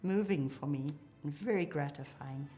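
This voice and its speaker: A middle-aged woman talks in a notably low voice. She sounds articulate and enthusiastic as a psychologist describing her work. Faint music runs behind her. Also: normal speed, mellow. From Zimbabwe. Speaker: psychologist, clinic co-founder. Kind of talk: interview account.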